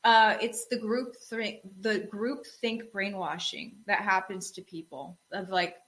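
A young woman co-hosting a casual podcast chat, telling relaxed stories and laughing often. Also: mid-range voice, measured pace, rough recording. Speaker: co-host.

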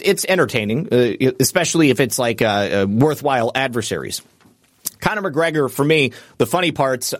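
A man of medium pitch talks straight to the mic in a dry, close-up sound. He hosts a political commentary show and rambles emphatically, full of restarts and fillers, picking up speed in bursts. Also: no room sound. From United States. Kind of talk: unscripted political commentary monologue.

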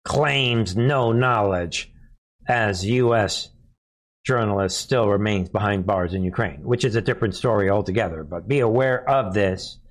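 A man's voice: on the low side, a little slow. Emphatic American man, derisive commentary. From United States. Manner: emphatic, derisive, repeats phrases for effect. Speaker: news commentary show host. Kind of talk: live political commentary.

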